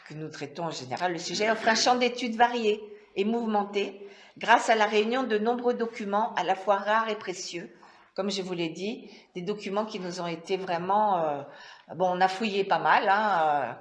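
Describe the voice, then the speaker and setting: A woman's voice: medium pitch, normal speed. A woman author presenting her book at a talk, partly reading aloud and adding spoken asides. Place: indoor talk venue. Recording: echoey room.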